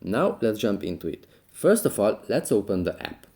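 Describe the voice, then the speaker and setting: A man's voice: fairly low pitch, big pitch swings, moderate pace, gravelly, mellow. A man hosting a step-by-step app tutorial, explaining each action in a plain, instructive way. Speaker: tutorial host.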